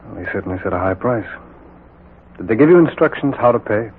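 An actor playing a brisk, reassuring FBI agent in a 1940s radio drama, questioning in a businesslike way. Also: male voice, on the low side, animated, slightly rough. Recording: narrow-band 1940s radio recording.

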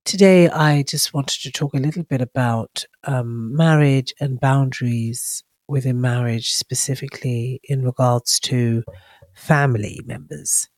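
Slow, stuffy-nosed woman podcast host. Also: very deep voice, steady tone, a little mellow, no echo. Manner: reflective, halting, many ums and restarts. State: congested from a cold. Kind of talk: casual solo podcast talk.

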